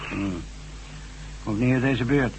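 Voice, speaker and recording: A man's voice: mid-range voice, slow pace. A man playing a stern, suspicious official who interrogates newcomers curtly in a Dutch radio drama. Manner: curt, interrogating. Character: stern, suspicious official screening new workmen. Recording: slightly noisy radio play with hum.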